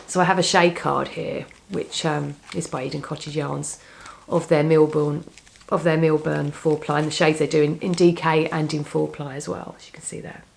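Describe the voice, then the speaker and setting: A woman with a cold, sounding a little croaky, chatting casually to camera about her knitting plans, rambling with frequent restarts. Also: low-pitched. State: has a cold, slightly croaky. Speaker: knitting vlogger.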